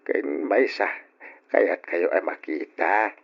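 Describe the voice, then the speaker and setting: A man's voice: lowish voice, expressive, slow, vocal fry, full character voice. A man with an Ilocano accent playing a kindly, hospitable older father in a radio drama. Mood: kindly, inviting. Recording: dull lo-fi old radio recording.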